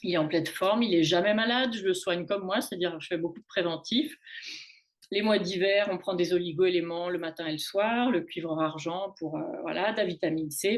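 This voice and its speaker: A woman in her late forties talking casually as a show guest, often stopping and restarting her sentences. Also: fairly low pitch. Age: late 40s. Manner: halting, many restarts and fillers. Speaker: guest on a women's wellness talk show. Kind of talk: casual conversational talk. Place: in Canada.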